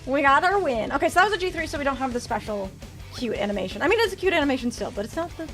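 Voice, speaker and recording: A young woman chats with her stream audience in a high voice that leaps up and down, yelling excitedly when her racer pulls ahead. She mentions she is losing her voice. Light game music plays quietly under her. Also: very fast. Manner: chatty, excitable, cheers during races. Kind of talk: live game stream commentary with chat.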